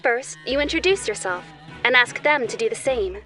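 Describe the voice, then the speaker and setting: Gentle angel host for a kids' cartoon, played by a woman: slightly high, soothing, instructive, music underneath. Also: animated, normal speed. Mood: kindly, encouraging. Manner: soothing, instructive, addresses the young viewer directly. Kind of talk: children's educational show dialogue. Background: background music.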